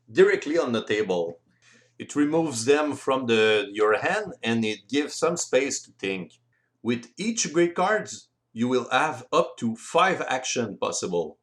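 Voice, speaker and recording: A man explaining board game rules step by step in simple, deliberate phrasing. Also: medium pitch. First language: French. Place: voice-over recorded separately from the video.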